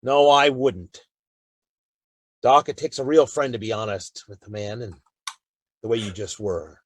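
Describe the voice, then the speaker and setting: An American actor playing a gruff, stubborn frontier lawman in a western radio drama, terse and brooding. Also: mid-range voice, steady tone, unhurried, dry recording, clean studio sound, close to an everyday voice. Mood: chastened.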